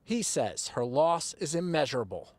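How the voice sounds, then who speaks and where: A man's voice: on the high side, very animated, normal speed, darkish tone, no room sound, studio quality. An American man narrating a scripted TV news report as a reporter.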